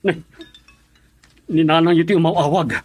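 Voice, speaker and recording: A man's voice: fairly high pitch, steady tone, normal speed, breathy edge, dry recording, faint music behind. A man playing a worried, devoted husband and father, emotional and earnest, in an Ilocano radio drama. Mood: anxious, excited.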